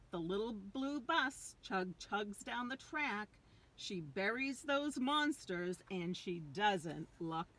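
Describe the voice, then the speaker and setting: Female storyteller for kids' read-aloud. Leisurely delivery, lively swooping intonation, dramatic emphasis. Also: medium pitch. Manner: dramatic, drawn-out emphasis on key words. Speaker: storyteller reading a picture book aloud. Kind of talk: expressive read-aloud for young children.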